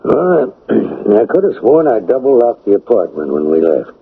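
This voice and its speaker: An actor playing a weary, worrying but affectionate retired older husband in a radio drama, with a raspy voice. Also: male voice, on the low side, very animated, moderate pace, heavily acted. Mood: worried. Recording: muffled old radio broadcast.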